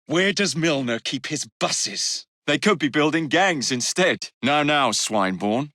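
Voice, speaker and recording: A man's voice: on the high side, expressive, measured pace, pushed and bright, no room sound, clean studio sound. A young man with an English accent playing a cocky, roguish Victorian gang leader in a video game, wry and sardonic. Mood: wry, breezy. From England. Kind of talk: scripted game character lines.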